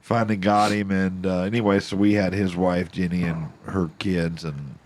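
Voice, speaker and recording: Male podcast co-host, deep voice, nasal from a cold, rambling chat, faint background hiss. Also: steady tone, darkish tone. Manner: rambling, halting restarts. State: stuffed up from a cold, slightly nasal. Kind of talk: casual chat.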